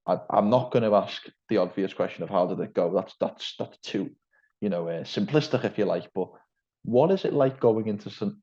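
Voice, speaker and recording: A man's voice: low-pitched, dark tone. Liverpool man asking questions, dull lo-fi sound. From England. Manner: wordy, hedging questions. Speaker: interviewer. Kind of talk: interview over a call.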